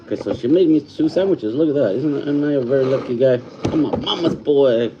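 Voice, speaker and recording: A man's voice: mid-range voice, dull audio, background noise. Fifty-something man thanking livestream viewers. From California. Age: 50s. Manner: warm, thankful, reads out viewer names slowly; mixes Spanish phrases into English. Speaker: livestream host. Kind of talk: casual livestream chat reading comments. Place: home.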